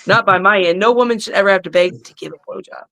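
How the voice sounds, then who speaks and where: A middle-aged woman with a raspy voice, a wrestler guest chatting bluntly and crudely on a video call from her living room. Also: medium pitch, quick pace, fairly bright tone. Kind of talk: casual podcast chat.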